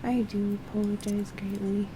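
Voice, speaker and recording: A woman livestreaming a game, halting and a little unwell, reading quest text and commenting casually on her play. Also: medium pitch, level tone, noisy background. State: feeling unwell.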